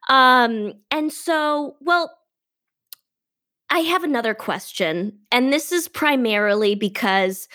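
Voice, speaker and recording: A woman's voice: medium pitch, fairly bright tone. A woman from Detroit with a nasal voice, hosting an interview with eager, filler-heavy questions. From Detroit, USA. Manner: enthusiastic, frequent "like" fillers. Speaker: podcast host. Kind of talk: casual interview chat.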